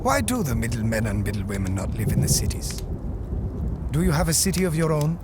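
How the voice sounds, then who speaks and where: An actor playing a sick, wary desert outcast who speaks in terse, solemn, formal phrases in a post-apocalyptic audio drama. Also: male voice, mid-range voice, very animated, brisk, natural voice. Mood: subdued, resigned. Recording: loud ambient noise behind the voice.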